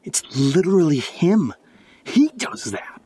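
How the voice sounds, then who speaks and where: A man's voice: on the high side, big pitch swings, a little slow, warm tone. A man playing a suspicious, unnerved housemate in a naturalistic audio drama, speaking in a wary, conversational way. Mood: indignant. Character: exasperated, suspicious male housemate, increasingly unnerved by his friend.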